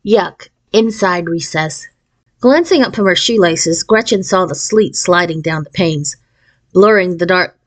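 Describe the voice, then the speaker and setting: A woman reading a children's novel aloud as a storyteller, in an expressive scripted narration style. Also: lowish voice.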